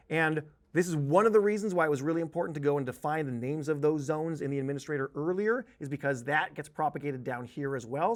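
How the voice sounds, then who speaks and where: Higher-voiced man teaching software. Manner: explanatory, informal, uses spoken examples. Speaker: software training instructor. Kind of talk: conversational screen-share tutorial.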